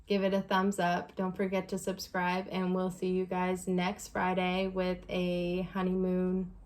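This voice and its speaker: A young woman shares a story as a vlog co-host. Her voice sits on the low side and stays very even in pitch. She talks casually, in a relaxed, conversational manner. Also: measured pace.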